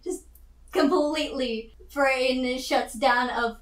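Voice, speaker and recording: Woman, high voice, slow-paced, tabletop game player, rambling giggly improvised narration. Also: reverberant.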